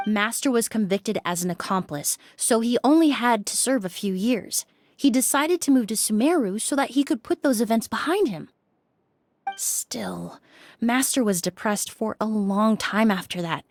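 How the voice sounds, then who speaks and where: A woman voicing a composed young perfumer who asks questions in a polite, measured way. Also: a little high, lively intonation, no room sound. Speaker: game character.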